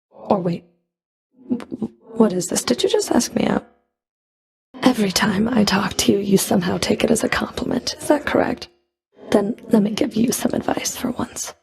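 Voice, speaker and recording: A woman playing a cold, proud Fury of the underworld in a video game, dry and cutting, with a creaky edge to her voice. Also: mid-range voice, darkish tone. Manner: dry, cutting, haughty, controlled. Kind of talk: video game character voice lines.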